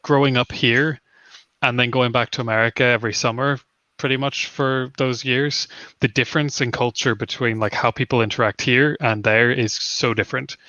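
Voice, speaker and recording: A man's voice: mid-range voice, steady tone, moderate pace, bright, forceful tone, no echo. A young man chatting casually as a stream guest, full of fillers. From Northern Ireland. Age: young adult. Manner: rambling with many fillers. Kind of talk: casual chat. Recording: dull, slightly lo-fi mic.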